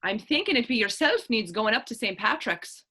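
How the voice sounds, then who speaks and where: A woman's voice: on the high side, very animated, moderate pace, pushed and bright, slightly rough, heavily acted. A woman playing Hannah, a spirited, determined Irish actress and patriot, in staged readers theater dialogue with a coaxing, earnest lilt.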